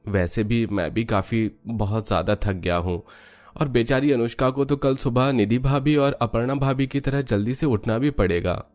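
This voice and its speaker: A man's voice: mid-range voice, moderate pace, a little mellow, soft background music, close to an everyday voice. A man voicing a considerate, tired young bridegroom in a cartoon family story.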